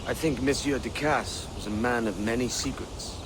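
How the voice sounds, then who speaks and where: A man's voice: medium pitch, moderate pace, natural voice. A man playing a roguish, sceptical pirate adventurer in a video game, talking in a dry, colloquial way. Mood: curious, wry. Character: roguish, wry adult male pirate adventurer. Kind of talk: naturalistic video game acting. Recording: clear insect and outdoor ambience noise. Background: crickets and natural ambience.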